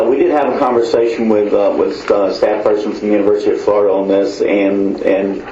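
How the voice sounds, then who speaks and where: A man giving a careful, halting staff explanation in a telephone conference meeting. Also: fairly low pitch, dark tone. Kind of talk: work meeting discussion on a conference call. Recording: muffled, echoey phone conference, faint noise.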